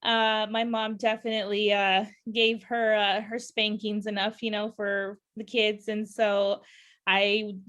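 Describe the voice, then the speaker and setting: A woman's voice: mid-range voice, little pitch movement, measured pace, a bit bright. Chatty woman podcast host, laughing often. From California. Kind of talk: casual interview chat.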